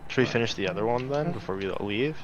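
A man's voice: mid-range voice, quick pace, dull audio, a crowd in the background. A man talking casually as a teammate in an online game, with game music behind him. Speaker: co-op gaming teammate. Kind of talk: casual gaming chat. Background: video game music.

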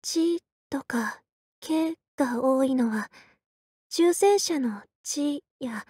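An actress playing a quiet, reserved young female soldier in a game, soft-spoken and deadpan, speaking in short halting phrases. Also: high voice, no room sound, studio quality, full character voice. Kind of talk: Japanese game character voice lines.

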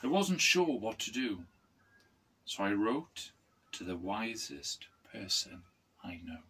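A man's voice: on the low side, very animated, takes it slowly, bright, forceful tone, a little hiss or hum. A middle-aged man reading a children's picture book aloud expressively, as a teacher would. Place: small wooden room, indoors.

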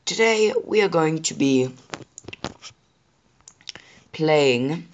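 A man with a sore throat and a slightly rough voice, chatting casually to viewers while he plays a survival game. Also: on the high side, lively intonation, extremely slow, muffled recording, a little hiss or hum. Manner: chatty, apologetic, addresses viewers directly. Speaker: gaming channel host. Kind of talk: casual gameplay commentary.

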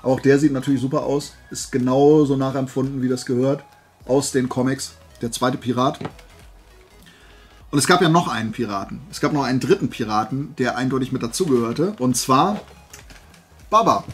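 Husky man chatting casually, voice tired. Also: mid-range voice, normal speed, faint music behind. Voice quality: husky, dry-throated. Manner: colloquial storyteller, acting out dialogue. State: voice tired from days of talking. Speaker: host of a flea market haul video. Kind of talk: casual anecdotal vlog chat.

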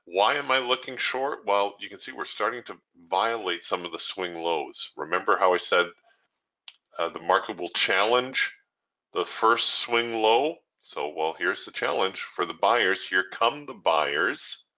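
A man hosting a trading training room, thinking aloud in a relaxed way as he walks through live trades. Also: on the low side, unhurried, dull audio. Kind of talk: live trading commentary over screen share.

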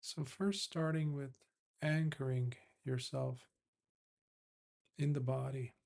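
A man with a medium-pitched voice teaches meditation. He speaks in short, measured phrases and leaves long pauses between them, giving instructions as a Buddhist monk would to students. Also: fairly even intonation, vocal fry, darkish tone.

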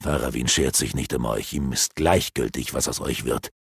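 Margok, a man doing a sneering dark elf. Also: normal speed, creaky, slightly rough, no echo, studio quality, exaggerated voice. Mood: sneering, persuasive. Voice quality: low, hushed and sneering. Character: gloating sinister male dark elf sorcerer.

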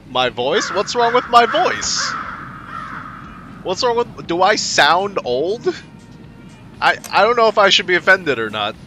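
Twenties male streamer, second-language English, animated swinging delivery, frequent laughs and cursing, music running underneath. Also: high-pitched, a little slow, pauses often. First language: not English. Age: 20s. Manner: swears a lot, laughs often, reacts to chat aloud. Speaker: game streamer. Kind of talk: casual live-stream chat while gaming. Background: background music.

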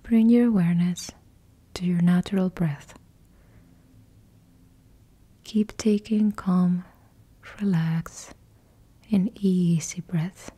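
A woman whispering slow, soothing guided yoga nidra instructions into a close mic. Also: on the low side, fairly even intonation, dark tone, breathy edge. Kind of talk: guided meditation.

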